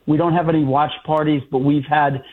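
A man co-hosting a radio talk show, speaking in a measured way with some restarts. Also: on the high side, flat delivery. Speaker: radio co-host. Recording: tinny phone-like line.

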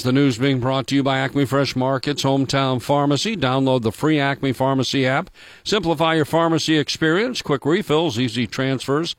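A man reading the morning news on a local radio station, brisk and matter-of-fact with small casual asides. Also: medium pitch, no room sound.

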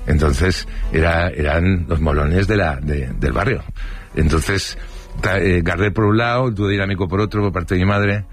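A man's voice: deep voice, normal speed, soft background music. An older man from Spain with a Spanish accent, telling rambling childhood anecdotes in a casual interview, full of fillers and restarts.

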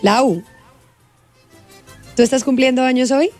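A Colombian-accented woman banters as a radio co-host. Her voice is on the high side, and she talks casually and bluntly with a teasing edge over some music. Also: slow pace, a little mellow.

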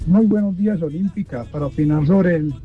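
A man with a slightly high voice leaves a formal, indignant voice note. The audio sounds dull and muffled, with faint music underneath. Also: fairly quick. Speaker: caller.

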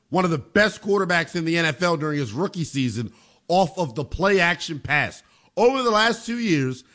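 An American man hosts a sports show with a high voice that swings widely in pitch. He delivers football opinion emphatically, repeating phrases to drive points home. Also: pushed and bright.

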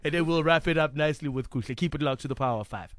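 A man's voice: a little high, very fast. A man hosting a radio show, joking and laughing with his guest. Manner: playful, joking, laughs a lot. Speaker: radio host. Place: radio studio.

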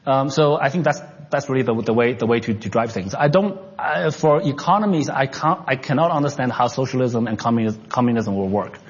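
Halting man answering in an echoey room. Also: mid-range voice, breathy edge, dull audio. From China, raised in Canada. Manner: halting, many restarts and fillers. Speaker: tech investor and entrepreneur, interview guest. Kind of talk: unscripted interview answers.

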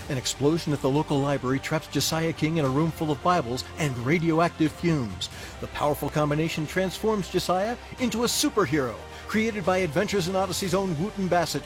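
A man announcing a superhero promo in a bold, theatrical style, with music behind him. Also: a little high.

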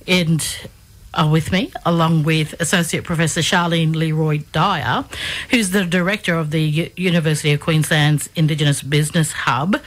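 A woman with an Australian accent hosting a conversational radio interview. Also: deep voice. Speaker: radio host.

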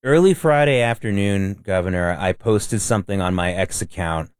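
A man's voice: low voice, normal speed, clear, resonant tone, no echo, studio quality. A man co-hosting a talk radio show, blunt and emphatic. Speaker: radio co-host. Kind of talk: casual talk radio chat.

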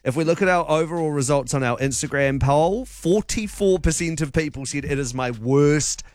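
A man co-hosting a radio show, reading out listener messages in a relaxed way. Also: a little high, normal speed, no echo. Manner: relaxed, reads messages aloud. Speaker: radio co-host. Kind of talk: casual radio chat, reading listener replies.

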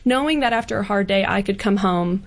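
A woman's voice: mid-range voice, brisk. A woman in her early twenties speaking earnestly and casually as an interview guest, with a youthful manner. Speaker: guest author.

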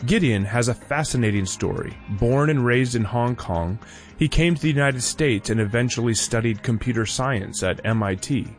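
A man's voice: mid-range voice, expressive, normal speed, faint music behind. A man hosting a radio show, reading a scripted introduction.

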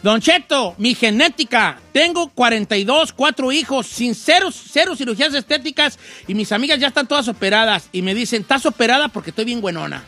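A high-voiced man with a Mexican accent hosts a casual comedy radio show. His delivery is bright and forceful, full of slang and teasing, with soft music playing behind him. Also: lively intonation, brisk. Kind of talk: comedic casual radio banter, reacting to listener messages.

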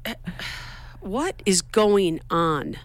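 A woman's voice: medium pitch, very animated, extremely slow. A woman co-hosting a local radio show, chatting casually in a playful, teasing and somewhat scattered way from a studio.

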